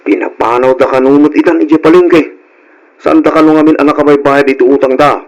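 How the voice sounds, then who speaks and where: An actor with an Ilocano accent playing a supportive, teasing husband in a conversational radio drama style. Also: male voice, a little high, a little fast, a little mellow, lo-fi sound, natural voice. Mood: gossipy, concerned.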